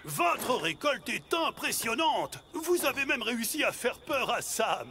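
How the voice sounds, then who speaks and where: A man voicing an excitable talking truck in a children's cartoon, with a theatrical, exaggerated delivery. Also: very high voice, unhurried, heavy background noise, exaggerated voice. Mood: animated. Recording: loud music under the voice.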